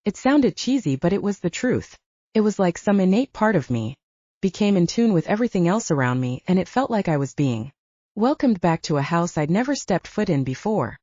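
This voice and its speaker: A woman reads a first-person audiobook story in a mellow, fairly low voice. Her pitch moves around a great deal as she narrates. The recording is a little dull. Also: big pitch swings, moderate pace, no echo.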